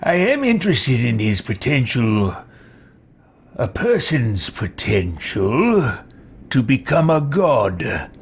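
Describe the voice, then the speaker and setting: A man voicing an imperious, brooding leader in a fantasy game roleplay, speaking curtly and deliberately. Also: mid-range voice, big pitch swings, breathy edge, exaggerated voice.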